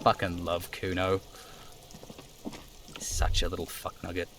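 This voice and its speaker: A man's voice: low-pitched, completely flat delivery, measured pace, noisy background. A man live-streaming a video game, switching between reading out dialogue and casual commentary.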